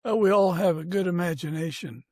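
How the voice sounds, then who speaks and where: A man's voice: sits high, brisk, a little mellow, no echo, clean studio sound. A man hosting a podcast interview in a conversational way.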